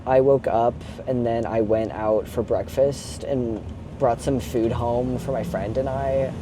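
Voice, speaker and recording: A man's voice: medium pitch, fairly even intonation, a little slow. A young man chatting casually and confessionally while driving a truck, in an informal, sweary, rambling way peppered with "like". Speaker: vlogger. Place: inside a moving box truck. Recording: clear vehicle and road noise. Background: engine and road noise.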